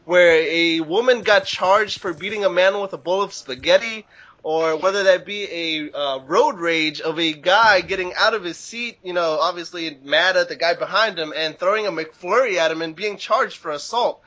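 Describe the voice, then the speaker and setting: A man's voice: sits high, moderate pace, pushed and bright, low-quality recording. A man chatting casually as a guest, telling anecdotes in a loose, sweary way. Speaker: guest on an online video series.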